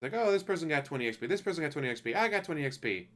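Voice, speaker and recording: A man giving a loose, profane spoken review of an anime, ranting casually and acting out characters in quick asides. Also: fairly high pitch, expressive, fairly bright tone.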